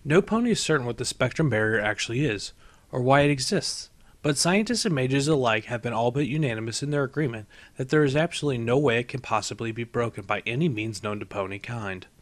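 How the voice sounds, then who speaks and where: A man reading a story aloud as scripted narration. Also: mid-range voice. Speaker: story reader.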